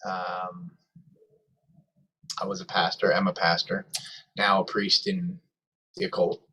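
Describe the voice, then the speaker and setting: A man giving a halting self-introduction as a guest student, with many pauses and fillers. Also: low-pitched, flat delivery, pushed and bright, distant mic. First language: not English (jokes "broken English"). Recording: voice from away from the mic.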